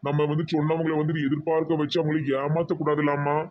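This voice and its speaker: A kind, principled big brother acted by a man in a cartoon, talking quickly and evenly in a dull recording. His southern Tamil Nadu accent carries earnest, gentle advice. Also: on the high side, monotone, noisy background, natural voice. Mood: advising, reassuring. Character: kind, principled young elder-brother figure, earnest and generous. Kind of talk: amateur cartoon voice acting.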